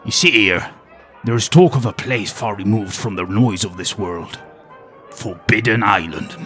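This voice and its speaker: A man's voice: on the low side, measured pace, fairly bright tone, faint music behind. A man playing an aging former nature documentary host with a wry, world-weary, conspiratorial manner. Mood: sly. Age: older.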